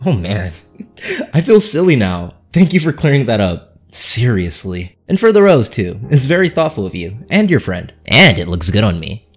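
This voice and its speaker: A man's voice: on the low side, sing-song, moderate pace, husky, soft background music. A young man voicing a cocky but lovesick cat-themed teenage superhero in a comic dub, wry, playful and hesitant. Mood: forced cheer. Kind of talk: animated-style comic dub acting.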